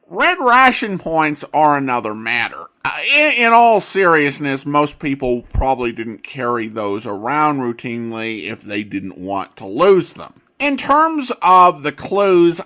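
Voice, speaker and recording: A man hosting a podcast, giving commentary in a deliberate, emphatic way with pauses between phrases. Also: a little high, bright, forceful tone. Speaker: podcast host.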